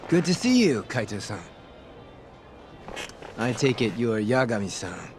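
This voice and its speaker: Cocky crooked detective, swooping delivery. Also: male voice, medium pitch, sing-song, measured pace, over music. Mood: smug, welcoming. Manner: slangy, smug, teasing. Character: cocky corrupt police detective, sly, envious, street-wise. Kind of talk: video game cutscene acting.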